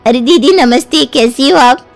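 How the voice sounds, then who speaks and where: A woman's voice: high voice, moderate pace, gravelly, faint music behind. A woman voicing a breezy, demanding young wife staying as a houseguest in a story, with a familiar, presumptuous manner.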